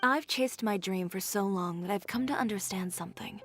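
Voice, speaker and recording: Woman playing a proud tailor, dry and teasing, mid-pitched, soft music behind. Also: moderate pace, natural voice. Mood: sincere. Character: proud, sharp-tongued adult woman tailor.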